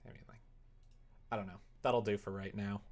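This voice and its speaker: A man's voice: lowish voice, steady tone, moderate pace. A man streaming game development, chatting casually and thinking aloud in a rambling, playful way as he works at his computer. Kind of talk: casual livestream chat while working. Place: indoors at a computer desk.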